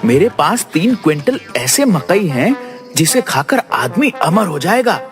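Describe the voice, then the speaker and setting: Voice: mid-range voice, big pitch swings, unhurried, faint music behind. A performer playing a sly, self-serving, boastful young brother in an audio moral story. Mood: wheedling.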